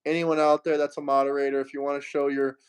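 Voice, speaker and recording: A man's voice: a little high, flat delivery, fast talker, clean tone. A man hosting a livestream, arguing heatedly and mockingly with a caller and asking viewers for super chats. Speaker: livestream host. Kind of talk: heated political livestream debate.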